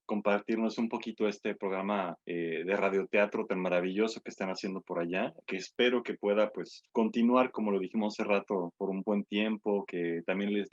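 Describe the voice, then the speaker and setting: Casting: male host, fairly low voice, casual conversational interviewer, on slightly muffled lo-fi audio. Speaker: radio show host.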